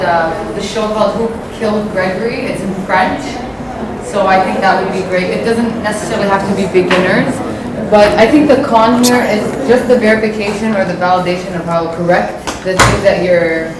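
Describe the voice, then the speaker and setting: A woman in the audience of a workshop giving a thoughtful comment, pausing to search for words. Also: mid-range voice, fairly even intonation. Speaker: audience member. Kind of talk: spontaneous comment. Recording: echoey room, mic far off, noisy.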